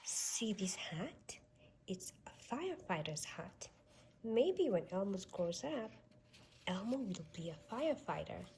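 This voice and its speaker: Expressive woman reading slowly to young children. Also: medium pitch, slight background noise. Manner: expressive, sing-song delivery for young children. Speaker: storybook reader. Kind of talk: read-aloud children's storytelling.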